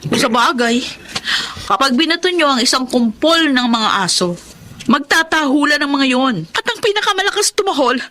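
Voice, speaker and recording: An actress playing a jealous, hot-tempered wife in a radio drama, scolding with a sharp, sarcastic tongue. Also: female voice, high voice, expressive, normal speed, a little hiss or hum. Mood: sarcastic, accusing. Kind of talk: Tagalog radio drama acting.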